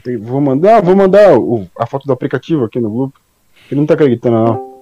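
A man's voice: medium pitch, lively intonation, fast, mellow, no echo. A man from Espírito Santo chatting bluntly on a podcast, swearing casually, heard over a remote call. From Espírito Santo, Brazil. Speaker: podcast panelist. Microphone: remote call, low audio. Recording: dull, lo-fi call audio.

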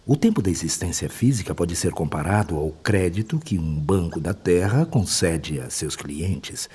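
An actor playing a wise, solemn male spirit guide who teaches in measured phrases in a radio drama. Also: deep voice, expressive, a little mellow, slightly rough, full character voice. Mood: solemn, instructive. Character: wise, instructive male spirit guide explaining moral laws. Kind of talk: radio drama acting, didactic.

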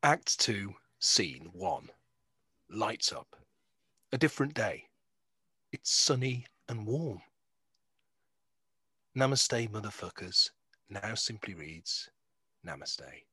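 A male narrator reads scene descriptions slowly and deliberately. His voice is husky yet bright and forceful. It sets each scene plainly, line by line. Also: fairly low pitch, sing-song, dry recording. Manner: deliberate, scene-setting delivery. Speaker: narrator reading stage directions. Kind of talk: scripted narration.

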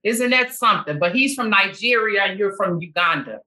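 A woman's voice: mid-range voice, lively intonation, a little fast, pushed and bright. A woman hosting a podcast, who interviews her guest and encourages her with frequent short affirmations. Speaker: podcast host.

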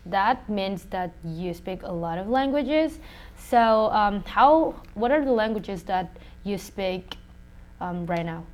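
A woman's voice: mid-range voice, animated, slow, a little mellow. A woman interviewer asking questions in a casual, conversational style.

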